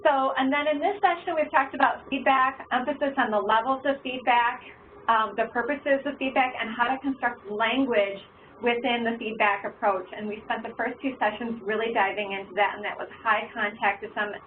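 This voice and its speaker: A woman's voice: fairly high pitch, steady tone, moderate pace. A woman facilitating an online workshop in a conversational way, with many fillers. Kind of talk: online professional development session. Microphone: video call. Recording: tinny, phone-like call audio with faint noise.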